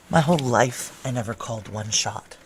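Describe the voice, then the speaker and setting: A woman's voice: rumbling low, slight background noise, full character voice. An actress playing a weary, blunt career military woman in an audio drama, middle-aged, with an American accent and a wry, intimate way of talking. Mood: weary, resigned. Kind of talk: naturalistic noir audio drama dialogue.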